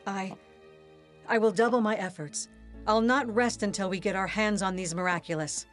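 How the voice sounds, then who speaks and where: An actress playing a villain's devoted, composed assistant in an animated game, formal, restrained and earnest. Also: female voice, mid-range voice, moderate pace, faint music behind, natural voice. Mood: earnest devotion. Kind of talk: dramatic animated game cutscene acting.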